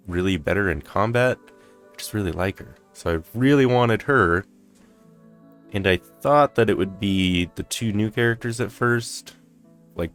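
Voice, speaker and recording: A man giving casual playthrough commentary as a game streamer, rambling along in a hushed, hesitant way. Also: sits low.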